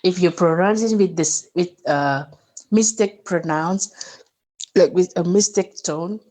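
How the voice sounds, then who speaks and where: A young Indonesian woman with an Indonesian accent, chatting in halting learner English and laughing often. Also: on the low side, warm tone. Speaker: conversation partner. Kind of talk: casual chat.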